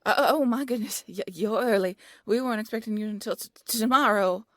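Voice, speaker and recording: A young woman playing a shy, flustered bunny-girl receptionist in an audio roleplay, speaking in a soft, breathy voice with a nervous, apologetic stammer. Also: fairly high pitch, fast, no room sound, clean studio sound, close to an everyday voice. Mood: panicked, flustered. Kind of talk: audio roleplay character acting.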